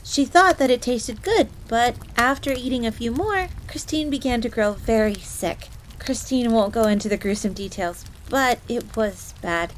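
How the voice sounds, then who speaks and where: A woman's voice: fairly high pitch, low-quality recording. A woman playing a proud, easily flustered fox-girl maid in a roleplay audio drama, speaking formally in the third person with stammers. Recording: steady rain noise throughout. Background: rain ambience.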